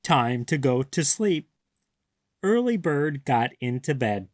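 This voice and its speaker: A man reading a children's picture book aloud, clearly and expressively. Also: mid-range voice, big pitch swings, takes it slowly, darkish tone, no room sound, studio quality. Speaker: read-aloud storyteller.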